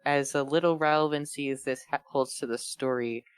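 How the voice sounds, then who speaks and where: A woman's voice: rumbling low, steady tone, fairly quick, clear, resonant tone, dry recording. A woman bantering casually as a reader on a group read-along show. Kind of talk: casual group chat with riffing.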